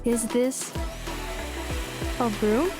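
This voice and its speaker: Robot maid girl from a game, deadpan and formal, highish voice, music behind. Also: extremely slow. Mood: vague, puzzled. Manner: deadpan, formal, clipped announcements. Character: polite, literal-minded young female robot maid. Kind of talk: game voice acting.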